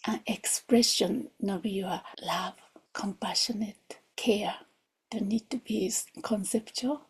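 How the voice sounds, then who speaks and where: A woman leading a guided meditation in a soft, gentle, hushed way, often near a whisper, with long pauses. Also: mid-range voice, slightly rough, poor audio. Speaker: meditation teacher. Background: music.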